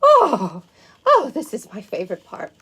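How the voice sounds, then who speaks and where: A woman's voice: on the high side, huge pitch swings, a little slow, pushed and bright, husky, full character voice. A woman playing a sweet, wide-eyed unicorn who hosts story time for children, with cooing wonder and exaggerated emphasis. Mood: enchanted, cooing. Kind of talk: animated picture-book reading for children.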